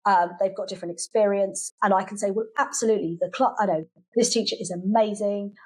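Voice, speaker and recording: A British woman speaks as a podcast guest in a conversational way, with a medium-pitched voice. She is chatty and a little halting, circling back over phrases to stress them. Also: fairly even intonation, a little mellow, slightly rough, poor audio. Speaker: podcast guest, children's language class teacher. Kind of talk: conversational interview answers.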